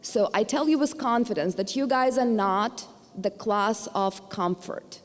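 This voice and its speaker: A woman's voice: medium pitch, measured pace. A middle-aged woman from Ukraine with a Ukrainian accent, giving an earnest commencement address as a university trustee, with hesitant fillers and restarts. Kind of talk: formal commencement speech. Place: large hall. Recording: long hall reverb.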